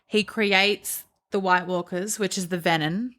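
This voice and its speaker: A woman's voice: medium pitch, steady tone, a little slow, a bit bright. A woman co-hosting a book podcast, giving analytical and blunt takes in an informal, chatty way. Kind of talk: casual book discussion chat.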